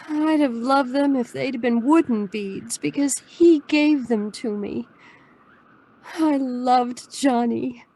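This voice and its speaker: A woman's voice: high voice, mellow. An actress playing a mysterious, wistful married woman in a noir radio drama, speaking in an earnest, restrained way. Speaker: actor in an audio drama.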